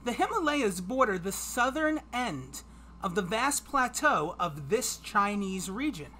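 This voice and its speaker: A man's voice: sits high, sing-song, unhurried, bright, forceful tone, a little hiss or hum. A man in his thirties hosting a livestreamed trivia quiz from home, chatty and upbeat as he reads clues aloud. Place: home streaming room.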